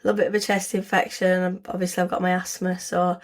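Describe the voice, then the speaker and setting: A hoarse woman, hosting her own podcast in a casual, rambling way with many restarts. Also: on the low side, flat delivery, quick pace. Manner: chatty, rambling, frequent restarts. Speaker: podcast host. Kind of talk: casual solo podcast talk.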